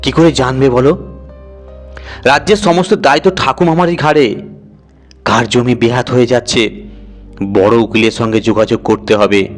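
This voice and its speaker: Guy reading a story out loud, chatty and expressive, mid voice, bit of music behind. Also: medium pitch, normal speed, pauses often. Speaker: audio story narrator. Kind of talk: dramatised scripted narration.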